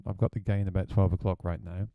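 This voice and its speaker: A man with a deep voice, a gigging musician, chatting plainly through a gear review. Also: little pitch movement, fast talker, smooth, dry recording, phone-quality sound.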